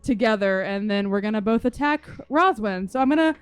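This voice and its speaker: A woman's voice: mid-range voice, lively intonation, a little fast, slight background noise. A woman playing a tabletop game, casually chatting and describing what her character does.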